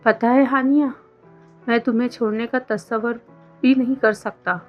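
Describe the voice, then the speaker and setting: A woman voicing an insistent, smooth-talking, evasive young college boyfriend in a storybook romance. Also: on the high side, steady tone, normal speed, natural voice. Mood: smitten. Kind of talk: scripted story dialogue. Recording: dull lo-fi sound under music.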